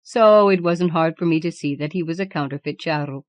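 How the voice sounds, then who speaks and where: A woman's voice: sits low, a little fast, dry recording, natural voice. A woman voicing a shrewd peasant man in a storybook, folksy and self-assured. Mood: confident. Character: plain-spoken, confident peasant man, shrewd.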